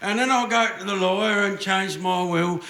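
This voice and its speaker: A man's voice: high-pitched, steady tone, moderate pace, vocal fry, sharp tone, full character voice. A man playing a cantankerous, mischievous old grandfather in a comic radio play. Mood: defiant. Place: theatre stage before an audience. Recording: roomy stage with noticeable background noise.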